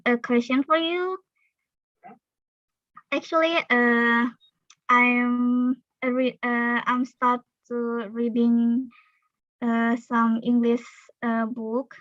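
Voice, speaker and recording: A woman's voice: on the high side, a bit bright, no room sound, lo-fi sound. A young Indonesian woman with an Indonesian accent, hesitantly asking a question in an online class, full of fillers and restarts. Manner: hesitant, many fillers and self-corrections. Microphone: online video call.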